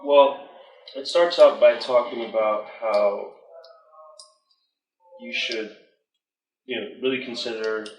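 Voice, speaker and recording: A young man from northern Iran talks to a study group in a low, flat voice, hedging with lots of fillers as he explains. He is picked up from a distance in an echoey room. Faint noise sits under him. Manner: hesitant, many fillers like "like". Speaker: study group member reading and summarizing. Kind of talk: reading aloud then casual explanation. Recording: echoey room, mic far off.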